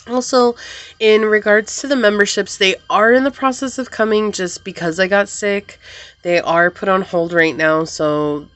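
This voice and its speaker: A woman giving a pick-a-card tarot reading to her viewers, gentle and reassuring and full of affirmations, sounding slightly congested as she gets over a cold. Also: mid-range voice, fairly even intonation, normal speed, no room sound.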